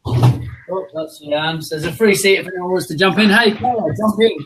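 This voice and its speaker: A man's voice: high-pitched, normal speed, fairly bright tone, a little hiss or hum, poor audio. An Australian man hosting a live video chat, greeting people in an upbeat, welcoming way. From Sydney, Australia. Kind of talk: casual chat. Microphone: video call.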